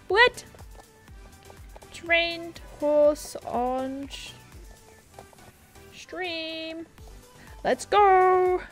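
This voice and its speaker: A young woman streaming a horse game, chatting casually from home on a headset and reading viewer messages aloud. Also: very high-pitched. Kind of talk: casual livestream chat. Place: home room at a gaming setup.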